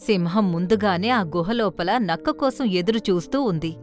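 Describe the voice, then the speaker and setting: Female narrator, medium pitch, scripted children's tale, soft music bed. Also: normal speed.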